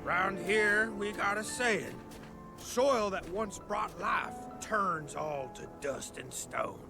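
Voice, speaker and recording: A man's voice: sits high, takes it slowly, vocal fry, exaggerated voice. A man voicing a folksy, easygoing villager storyteller in a video game cutscene, with a drawl. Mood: somber recounting. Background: background music.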